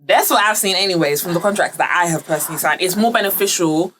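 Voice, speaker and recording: A woman's voice: fairly low pitch, fast talker, a bit bright, slight background noise. A woman co-hosting a podcast, talking casually as part of a panel discussion. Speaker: podcast co-host.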